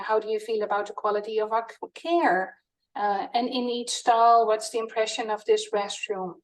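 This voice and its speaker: A woman's voice: fairly high pitch, distant mic, rough recording. A woman with a Dutch accent giving an earnest product presentation in a webinar, with frequent ums and uhs. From Netherlands.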